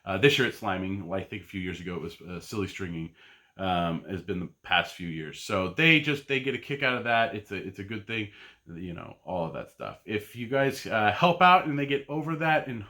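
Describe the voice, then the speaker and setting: Bright-voiced man chatting in a ramble. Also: fairly low pitch, expressive, brisk. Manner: rambling, full of ums and uhs and restarts. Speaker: podcast host and comic buyer. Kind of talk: casual solo vlog chat.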